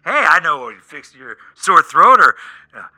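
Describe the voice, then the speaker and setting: Bright, animated, exasperated talent agent played by a man. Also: a little high, a little slow, full character voice. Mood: mock-dramatic goading. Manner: incredulous, sarcastic, rambling rants. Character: exasperated, prying male Hollywood agent and longtime friend. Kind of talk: naturalistic comedic radio play dialogue.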